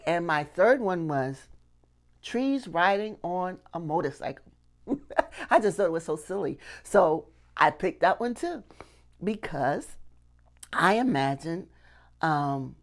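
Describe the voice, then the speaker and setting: A middle-aged woman giving a casual lesson to camera, conversational and often checking in with little questions. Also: medium pitch. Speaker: puppetry instructor and channel host. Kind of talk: casual instructional talk to camera. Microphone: clip-on lapel mic.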